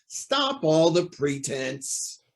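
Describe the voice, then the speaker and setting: An actor playing a proud, anxious, cornered judge in an old-time radio drama, speaking in a formal, indignant, theatrical way. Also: male voice, a little high, very animated, very slow, heavily acted. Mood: bitter, defensive.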